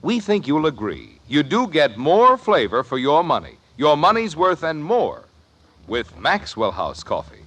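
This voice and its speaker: Animated vintage American radio pitchman. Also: measured pace. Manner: polished, persuasive. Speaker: commercial announcer. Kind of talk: 1950s radio advertisement, scripted.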